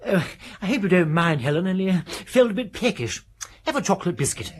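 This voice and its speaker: A woman's voice: on the low side, sing-song, quick pace, breathy edge. A woman playing a languid, flippant idler in a British radio drama, offhand and droll. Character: languid, flippant upper-class idler, lazily amused.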